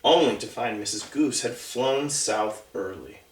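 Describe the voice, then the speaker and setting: A man's voice: mid-range voice, measured pace. Echoey man reading a children's storybook. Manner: conversational, asks the listeners questions. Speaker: storybook reader. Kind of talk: reading a picture book aloud to young children, with chatty asides. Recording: roomy echo, distant mic.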